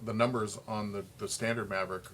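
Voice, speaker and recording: A man's voice: lowish voice, steady tone, fairly quick, fairly bright tone. A man who works at a golf shop, chatting casually and conversationally. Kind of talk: casual chat. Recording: noticeable background noise.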